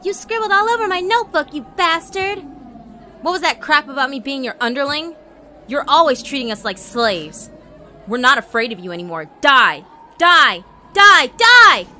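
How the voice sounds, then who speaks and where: A woman voicing furious teenage classmates who shout spitefully for revenge. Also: high-pitched, big pitch swings, moderate pace, faint music behind, voice pushed up, heavily acted.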